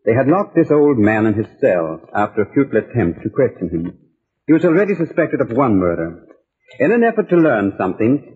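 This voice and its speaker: A man's voice: medium pitch, husky. An actor playing an easygoing, wry newspaperman, telling his story conversationally in old-time radio style. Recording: narrow-band vintage radio recording.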